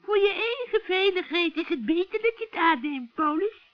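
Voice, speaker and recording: A man voicing a scheming old witch in a children's radio play, shrill and cackling, with sugary, wheedling friendliness. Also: animated, no room sound. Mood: persuasive, sly. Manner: wheedling, drawn-out coaxing. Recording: dull, dry vintage radio recording.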